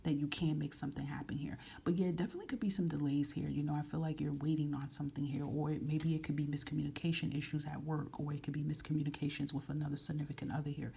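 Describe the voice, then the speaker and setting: A soft-spoken woman reading tarot cards to camera in a casual, frank way, often repeating phrases. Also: deep voice, level tone, fast, creaky, hardly any pauses, breathy edge. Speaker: tarot reader.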